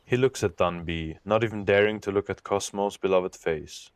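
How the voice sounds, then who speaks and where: A man's voice: low-pitched, moderate pace. A man reading scripted narration for an audio drama.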